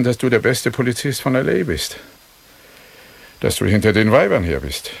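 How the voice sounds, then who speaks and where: An actor playing an older, loyal and blunt Los Angeles cop in a radio drama, with a gravelly, husky voice and a wry conversational manner. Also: male voice, medium pitch, exaggerated voice. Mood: reproachful. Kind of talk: German radio drama acting.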